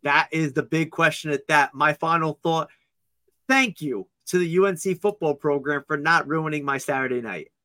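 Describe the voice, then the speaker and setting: Male sports podcast co-host, bright forceful tone, slightly high, dry close sound, casual and joking. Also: no echo. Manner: upbeat, joking, quick asides.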